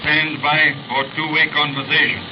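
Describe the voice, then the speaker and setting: A male actor as a commanding criminal mastermind in an old radio adventure. He speaks in clipped, telegraphic orders, fairly high in pitch, on a muffled and noisy vintage recording. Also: level tone, moderate pace, close to an everyday voice. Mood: cold, commanding. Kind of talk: 1930s radio serial acting.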